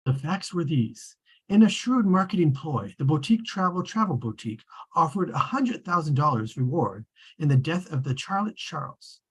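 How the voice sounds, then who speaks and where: A man's voice: fairly high pitch, sing-song, moderate pace, breathy edge, rough recording. A man reading scripted, storybook-style narration at a table read.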